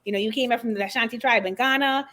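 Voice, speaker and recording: A middle-aged woman from the Bahamas, a guest author and confidence coach, telling her story in an expressive, animated way during an interview. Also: fairly high pitch, fast, a little hiss or hum. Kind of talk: conversational interview.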